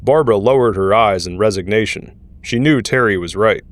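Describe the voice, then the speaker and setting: A man's voice: fairly low pitch, a little fast. A man narrating an audio drama from a script, telling the story in the third person.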